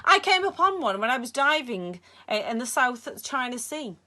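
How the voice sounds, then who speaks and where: A woman's voice: sits high, very animated, normal speed, pushed and bright, pitched above the performer's own voice, full character voice. A woman voicing a short, cold, menacing male tycoon with an Egyptian accent, speaking in a silky, self-satisfied way. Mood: smooth menace.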